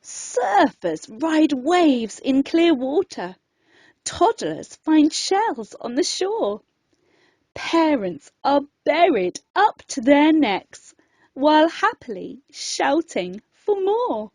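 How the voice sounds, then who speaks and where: Very slow, high-pitched woman storyteller. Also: sing-song, darkish tone, no room sound. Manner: theatrical, deliberate rhyming delivery. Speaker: children's storyteller. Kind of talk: expressive scripted bedtime story narration in verse.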